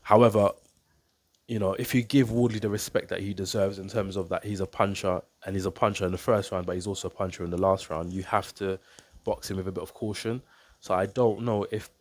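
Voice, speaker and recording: A man with a very low voice and a stuffy, congested nose from a cold talks through a boxing fight to camera. His delivery is level and even, measured and unhurried, with frequent ums and repeated words as he thinks aloud. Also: no room sound. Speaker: boxing coach and YouTuber. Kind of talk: casual fight breakdown to camera.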